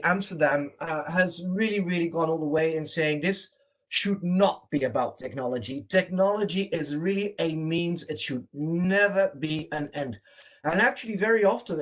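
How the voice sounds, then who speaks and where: Dutch-accented man giving a training talk. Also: a little high. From Netherlands. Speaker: presenter and trainer. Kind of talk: online training presentation. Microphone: video call.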